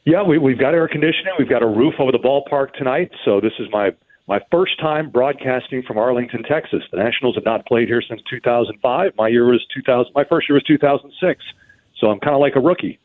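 A man's voice: mid-range voice, fast talker. Man, baseball broadcaster, earnest interview answers, stammering restarts, phone-like sound. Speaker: baseball radio play-by-play broadcaster, guest. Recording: thin phone-like line.

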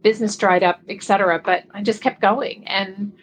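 A middle-aged woman from Belgium chatting casually as an interview guest, her voice slightly husky. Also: mid-range voice, steady tone, moderate pace, slight background noise, low-quality recording. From grew up in Belgium. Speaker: podcast guest, publishing expert.

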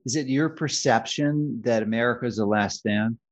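A man hosting a political interview, who asks questions in an opinionated and halting way. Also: medium pitch.

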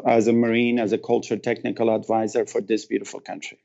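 Gravelly Afghan-accented man telling his story. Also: on the low side, little pitch movement, fast, dark tone, muffled recording. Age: 50s. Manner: earnest, emphatic, repeats phrases, non-native grammar. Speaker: interview guest, actor and former military cultural advisor. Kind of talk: personal storytelling in an interview.

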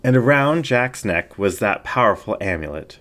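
A man's voice: lowish voice, animated, normal speed, a bit bright. A man reading a scripted story aloud as a dramatic narrator.

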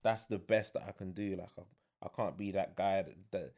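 Brief: low-voiced young dad, thoughtful, chatty guest. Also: male voice, fairly even intonation, moderate pace, a little mellow. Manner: reflective, with many fillers. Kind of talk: casual chat.

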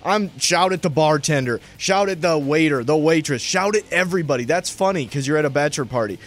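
A man's voice: on the high side, expressive, a little fast. A young man co-hosting a sports radio show, laid-back and easygoing, rambling through casual banter. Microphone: studio mic with headphones.

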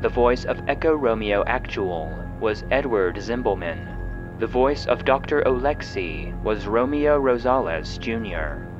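A man reading scripted narration and credits as a show's narrator, in a formal, measured announcing style. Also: medium pitch, animated, highs cut off. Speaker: producer and narrator. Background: music.

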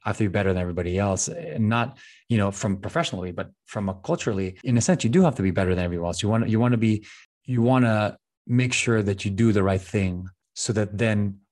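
A man's voice: lowish voice, expressive, quick pace, darkish tone. A man from the Philippines, a podcast guest, talks about identity in an earnest, searching way and often repeats words as he gathers his thoughts. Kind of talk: reflective casual conversation.

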